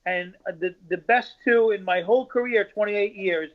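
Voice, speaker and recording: High-voiced New comedian, muffled. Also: male voice, normal speed. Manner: chatty, rambling. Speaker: stand-up comedian. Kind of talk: casual chat. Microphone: video call. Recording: dull lo-fi call audio.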